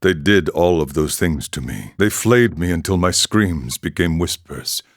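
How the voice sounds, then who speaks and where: Really low voiced old-world warrior monk for a game, ex chieftain, solemn n measured storytelling, super dry close sound. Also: male voice, lively intonation, no echo. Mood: grim, calm. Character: weathered, philosophical male warrior monk, former chieftain. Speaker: video game voice actor. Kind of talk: scripted dramatic storytelling monologue.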